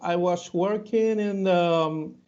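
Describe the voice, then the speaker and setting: A man from Cuba with a Cuban Spanish accent, answering interview questions haltingly with many fillers and restarts; Spanish is his first language. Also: high-pitched, a little mellow, clear, resonant tone. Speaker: interview guest. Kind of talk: hesitant conversational interview answers. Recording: dull lo-fi audio.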